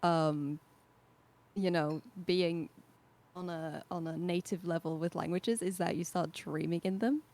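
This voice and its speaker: A woman's voice: on the low side, fairly even intonation, unhurried, darkish tone. A young woman from Belgium with a Flemish accent, chatting as a podcast guest, explaining patiently and laughing often. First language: Dutch. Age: 20s. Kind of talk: casual chat.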